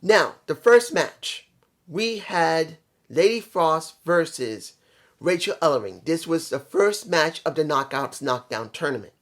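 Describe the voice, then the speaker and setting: The voice is a mid-pitched reviewer, a little hoarse from allergies. The delivery is casual and opinionated, as in a wrestling vlog. Points get repeated and stressed in a conversational way. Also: expressive, a little slow, a bit bright. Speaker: vlogger reviewing a wrestling show.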